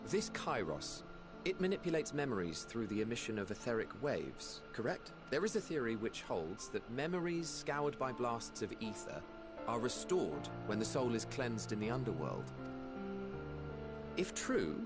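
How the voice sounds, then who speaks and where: An actor playing a thoughtful male scholar in a game cutscene, speaking in a measured, formal way. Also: mid-range voice, sing-song, music in the background, natural voice. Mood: musing.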